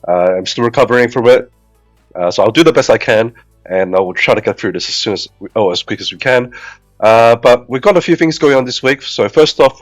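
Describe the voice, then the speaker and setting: A man's voice: lowish voice, no echo. A man in a dry, close recording walks through game updates in a casual, rambling way, full of fillers and restarts. His voice is a little nasal from a recent cold. Faint music plays under him. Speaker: gacha game video host.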